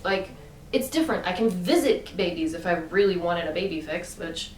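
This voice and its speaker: A woman's voice: on the low side, normal speed, faint music behind. A woman vlogger talking about her own choices in a casual, emphatic way, with many fillers and rising bursts of emphasis. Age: adult. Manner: animated, emphatic, lots of fillers. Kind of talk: casual opinion monologue. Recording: echoey room, mic at a distance, background noise.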